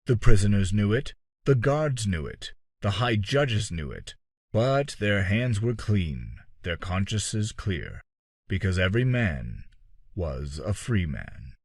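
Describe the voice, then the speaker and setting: A man reading a dark fantasy story as an audiobook-style narrator, measured and dramatic, with deliberate pauses and phrases that trail off. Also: on the low side, dry recording, clean studio sound.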